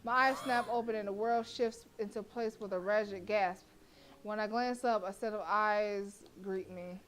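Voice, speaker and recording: A woman streamer reads a horror visual novel aloud with a mid-pitched voice, giving the text a dramatic delivery. She keeps cutting in with profane, joking asides. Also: steady tone, unhurried, a bit bright, low-quality recording.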